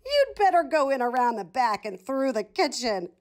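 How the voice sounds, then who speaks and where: Woman as scoffing palace guard, pitched up. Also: very animated, moderate pace. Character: dismissive, amused palace guard in a storybook.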